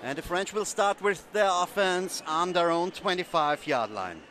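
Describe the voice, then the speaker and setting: A male commentator speaking English with a German accent, not his native language, calling a live football game and getting excited on the scores. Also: high-pitched, unhurried. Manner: excited, rising to shouts on scores. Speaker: sports commentator.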